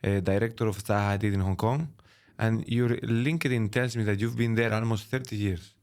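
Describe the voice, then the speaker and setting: A man hosting a podcast, chatting with his guest in a friendly, teasing way, with halting phrasing. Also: lowish voice, fairly even intonation, darkish tone. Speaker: podcast host. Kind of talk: casual interview chat.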